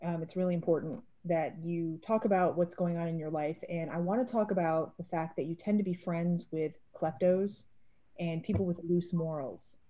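A woman with a fairly low voice takes part in improvised comedy. She speaks conversationally on a video call. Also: steady tone, moderate pace, mellow, muffled recording. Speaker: improv performer.

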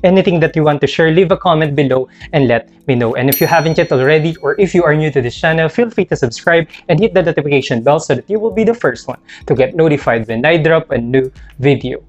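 A man's voice: a little high, expressive, quick pace, few pauses. A man in his thirties presenting a scripted product review as a sneaker channel host. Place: small indoor room with sneaker shelves. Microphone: clip-on lapel mic. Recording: muffled lo-fi audio, music underneath. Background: background music.

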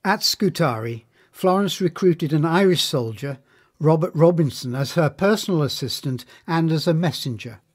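A man narrating a scripted biography in a measured, formal manner. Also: a little high, animated, slightly rough.

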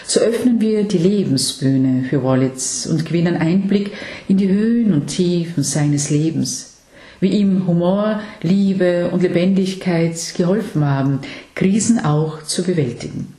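A woman hosting an interview, asking questions in a measured, encouraging way. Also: lowish voice, warm tone. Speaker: talk show host. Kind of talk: interviewing, scripted intro. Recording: echoey room.

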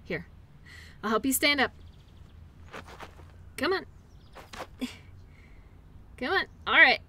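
A woman's voice: high voice, lively intonation, slow pace, bright, forceful tone, a little hiss or hum, heavily acted. A woman playing a caring, upbeat girlfriend in an intimate roleplay audio, talking in a soothing, playful, encouraging way. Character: caring, upbeat girlfriend comforting an injured partner.

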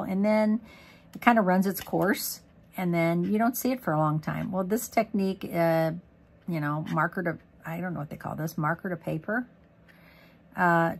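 Gravelly, hoarse woman chatting through a craft demo. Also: on the low side. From Missouri, USA. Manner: chatty, rambling, lots of ums and asides. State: losing her voice, hoarse. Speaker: craft demonstrator. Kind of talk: casual crafting tutorial. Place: craft desk indoors.

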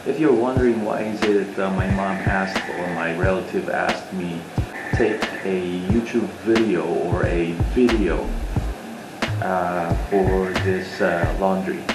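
A man's voice: low-pitched, steady tone, slow pace. A man in his thirties from the Philippines with a Filipino accent, rambling casually to camera with many fillers, recorded on the camera's own mic in a small bedroom. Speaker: vlogger. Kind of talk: casual vlog talk to camera. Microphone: camera mic at desk distance. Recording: echoey room with loud music.

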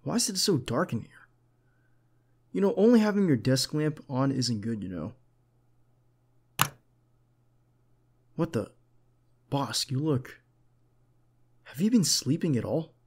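A protective male bodyguard, acted by a man for an ASMR roleplay, with a mid-pitched voice. He is earnest and caring, speaking in a conversational, gently insistent way with hesitant pauses. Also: lively intonation, husky. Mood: concerned, firm. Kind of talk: ASMR roleplay acting, one side of a dialogue.

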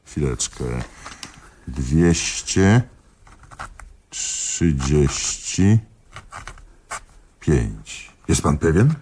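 A man playing a dogged, sceptical police investigator in a radio comedy, husky and dryly questioning, politely exasperated. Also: very deep voice, very animated, darkish tone, a little hiss or hum, full character voice. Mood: sceptical, probing. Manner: questioning, dry, reads verse aloud slowly. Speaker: actor in a radio play. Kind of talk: theatrical radio comedy dialogue.

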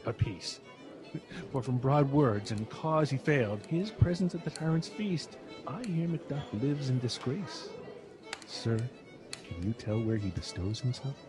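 A man's voice: medium pitch, animated, a little slow, music in the background, close to an everyday voice. A man playing a sardonic Scottish lord in a radio drama, speaking with heavy irony. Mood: ironic.

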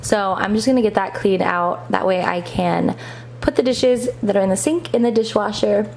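A woman's voice: medium pitch, moderate pace, gravelly, darkish tone, a little hiss or hum. A woman in her thirties casually narrating her cleaning routine in a plain, practical voice-over. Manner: plain, practical step-by-step explaining. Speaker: cleaning vlogger.

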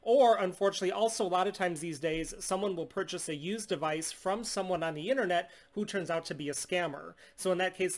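A man in his thirties from the United States, a steady, salesy business owner explaining a tablet unlock to camera in a small room. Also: high-pitched. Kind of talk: scripted product demonstration. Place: small indoor room at home.

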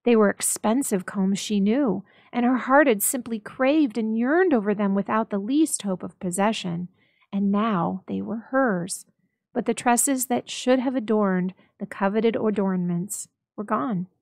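A woman narrating a story dramatically, with expressive, measured storytelling. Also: mid-range voice, creaky, dry recording, clean studio sound. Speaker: library staff story reader.

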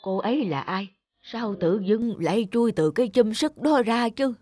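A woman playing a kind, lonely old village woman in a cartoon folk tale, speaking gently. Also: on the high side, lively intonation, normal speed, no echo, faint music behind. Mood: puzzled.

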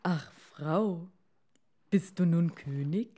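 Woman doing a sad henpecked fisherman guy, kinda low, sighs, drags his words. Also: drawn-out words, dark tone. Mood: cautious, pleading. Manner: plaintive, sighing, hesitant. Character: meek, reluctant old-tale fisherman husband, henpecked and troubled.

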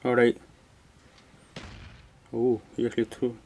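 A man's voice: medium pitch, completely flat delivery, slow pace, a little mellow, slight background noise. A man giving casual, slangy let's play commentary as a gaming host, muttering reactions, with the microphone a little away from him. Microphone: microphone not close to the speaker.

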